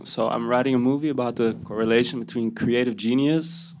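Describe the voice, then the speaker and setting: A man's voice: mid-range voice, steady tone, brisk, slight background noise. A man in the audience asking a question about a film he is writing, hesitating as he goes.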